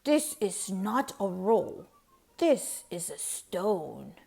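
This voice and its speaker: A woman voicing a stern, angry male Duke in a storybook, with imperious, drawn-out commands. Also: medium pitch, big pitch swings, creaky, warm tone, breathy edge, heavily acted. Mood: indignant. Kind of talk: storybook character voice.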